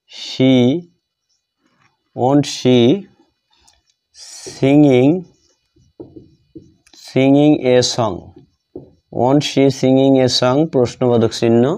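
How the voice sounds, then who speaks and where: A Bengali-accented man gives a grammar lesson in a mellow, relaxed voice. He goes very slowly, dictating piece by piece and often repeating himself as he writes. His pitch sits in the middle range for a man. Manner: halting, repetitive, word-by-word delivery. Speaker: English grammar teacher.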